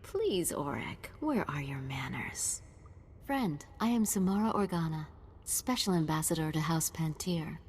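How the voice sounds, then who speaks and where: A woman's voice: deep voice, lively intonation, a little slow, a little hiss or hum. A woman playing a gracious, formal noble ambassador in a video game, speaking with diplomatic courtesy. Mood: courteous, earnest. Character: gracious, dignified female ambassador of a noble house. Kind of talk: video game cutscene acting. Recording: long hall reverb.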